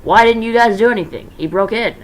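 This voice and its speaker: Performer playing an excitable, high-pitched male night guard. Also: fairly quick, lightly acted. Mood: triumphant. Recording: noisy amateur home recording.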